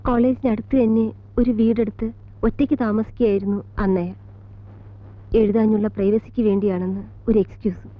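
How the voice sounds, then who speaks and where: An actress playing a composed middle-aged college teacher in a film drama, speaking in a measured Malayali accent with deliberate pauses between short phrases. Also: female voice, mid-range voice, steady tone, natural voice. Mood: sombre, reflective. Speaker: actor in a film. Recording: tinny band-limited old film soundtrack, music behind.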